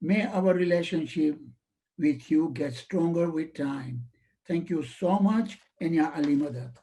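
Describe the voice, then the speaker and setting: Formal man with a bright voice. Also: on the high side, unhurried. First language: Swahili. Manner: formal, ceremonious. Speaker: vice chair giving vote of thanks. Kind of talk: formal vote of thanks speech. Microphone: webinar call.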